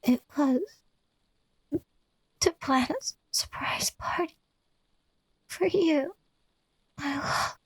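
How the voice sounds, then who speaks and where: A woman's voice: high-pitched, slow, long pauses, in a whisper, poor audio. A woman playing a wounded captive recounting her lover's abuse in a horror radio drama. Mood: pleading, weak, frightened. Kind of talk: first-person horror radio drama narration with acted dialogue.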